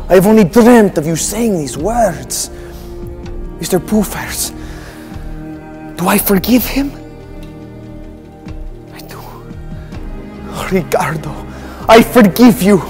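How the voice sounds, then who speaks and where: An actor playing a grandiose comic villain professor with a wounded streak and a put-on European accent, in a theatrical skit. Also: male voice, high-pitched, lively intonation, slow pace, pauses often, faint music behind. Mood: tender. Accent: put-on foreign European accent. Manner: grandiose, theatrical, swinging from shouts to hushed asides. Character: melodramatic male comic villain professor, wounded younger brother. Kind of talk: comic villain monologue in a children's church skit.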